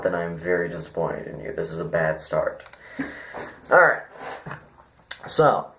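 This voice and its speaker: A man giving an informal, rambling critique to viewers, deadpan and self-deprecating, halting as he thinks aloud. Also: sits low. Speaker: video reviewer.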